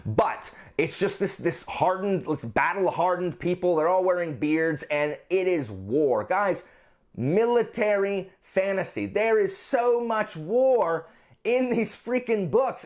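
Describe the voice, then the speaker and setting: Male book vlogger, voice on the higher side, chatty and informal, effusive and emphatic delivery. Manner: gushing, emphatic, repeats phrases for emphasis. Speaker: book reviewer and channel host. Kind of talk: casual chatty book vlog.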